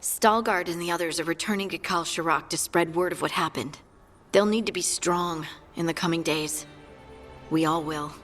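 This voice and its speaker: An actress playing an earnest, grateful female adventurer in a video game, gentle and sincere with a playful side. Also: fairly low pitch, normal speed, faint music behind, lightly acted. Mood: solemn. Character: earnest, warm, grateful female adventurer finding her strength.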